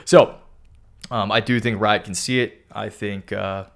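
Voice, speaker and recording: A young man hosting a stock market video, talking casually through charts with a hoarse voice, often clearing his throat. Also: lowish voice, fairly even intonation, a little slow. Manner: keeps clearing his throat, upbeat and hype-driven. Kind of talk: casual chart analysis talk.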